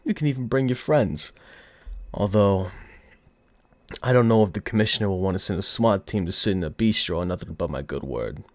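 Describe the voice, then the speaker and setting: Casting: male actor as flirtatious, arrogant mob boss for ASMR roleplay; deep register, highly expressive, taunting, affected gangster accent. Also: no echo. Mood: cocky. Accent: put-on mafia gangster accent. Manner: teasing, taunting, drawn-out seductive delivery. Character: cocky, flirty, smug male mafia boss. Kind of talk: ASMR audio roleplay acting.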